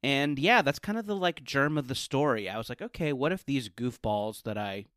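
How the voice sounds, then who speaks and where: A middle-aged American man talks as a guest in a casual interview. His pitch is average and the sound is very dry. He rambles informally with a self-deprecating manner. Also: lively intonation, moderate pace, a bit bright, no room sound. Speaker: podcast guest, comedy writer and podcaster.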